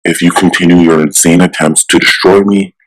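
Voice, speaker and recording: A man voicing a stern, steadfast superhero with a firm, measured delivery in a comic-book drama. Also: sits low, steady tone, close to an everyday voice, poor audio. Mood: resolute. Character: stern, righteous male superhero, steadfast and unafraid.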